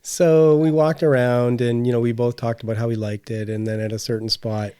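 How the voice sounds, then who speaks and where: A man's voice: medium pitch, level tone, fairly quick, dark tone, clear, resonant tone, dry recording, clean studio sound. A middle-aged man chatting casually as a podcast co-host. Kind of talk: casual conversational chat.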